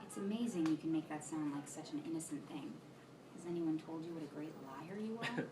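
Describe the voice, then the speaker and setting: A woman's voice: low voice, fairly quick, crowd noise, natural voice. A woman reading the part of a sardonic, provocative young photographer in an informal script reading, teasing and evasive. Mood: guarded, mocking. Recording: distant, echoey room, loud background noise.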